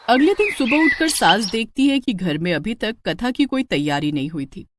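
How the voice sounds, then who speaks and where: A woman's voice: on the high side, big pitch swings, moderate pace, background noise. A woman narrating a scripted cartoon story as a storyteller.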